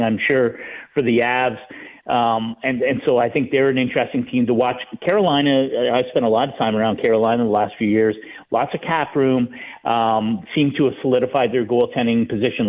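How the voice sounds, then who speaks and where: Phone-like audio, man, sports radio analysis, conversational, halting restarts. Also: mid-range voice. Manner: halting, conversational, thinking aloud with repeated restarts. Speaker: sports writer, guest analyst. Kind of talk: hockey talk radio discussion. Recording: thin, phone-like line.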